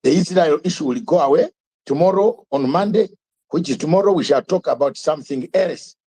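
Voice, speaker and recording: An older man with a high, husky voice drives his live talk show with fiery commentary. He repeats himself for emphasis and throws out rhetorical questions one after another. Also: fairly quick, dark tone.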